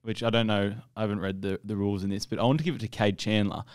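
A man's voice: lowish voice, level tone, fast, smooth, dry recording. A young Australian man co-hosting a podcast with dry, joking asides. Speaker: podcast co-host. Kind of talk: casual podcast chat. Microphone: desk mic on boom arm.

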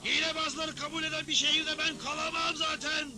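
A man voicing an indignant, excitable old frontier judge in a western cartoon dub, with a blustery, emphatic delivery. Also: very high voice, brisk, music in the background. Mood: indignant, blustering.

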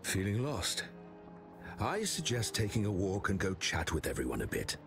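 A man's voice: lowish voice, huge pitch swings, normal speed, over music. An actor voicing a weary, devoted doctor who earnestly defends his choices in a game.

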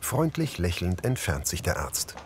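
A man reading audio description for a TV series in a neutral, measured way. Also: low voice, very animated, a little hiss or hum.

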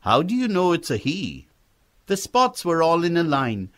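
Man acting eager country boy, earnest, polite, pleading lilt, raised pitch, animated storybook dialogue. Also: unhurried, exaggerated voice. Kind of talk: acted audiobook dialogue.

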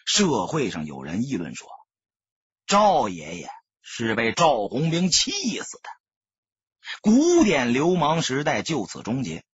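A man narrating an audiobook in a dramatic storytelling style, with a husky, raspy voice. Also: medium pitch, huge pitch swings, unhurried, dry recording, dull audio.

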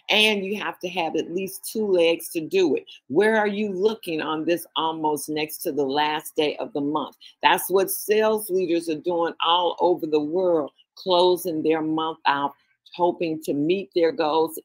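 A husky-voiced woman with a lowish pitch speaks on a video call to her sales team. She coaches with urgency, firing off question after question. The tone is motivational. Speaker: sales leader addressing a team.

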